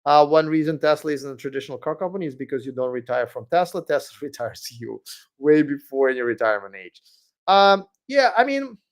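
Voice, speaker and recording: Male streamer host, slightly high voice, hoarse, chatty and meandering. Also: very animated, brisk. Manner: rambling, many fillers and restarts. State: losing his voice. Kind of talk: casual live stream Q&A.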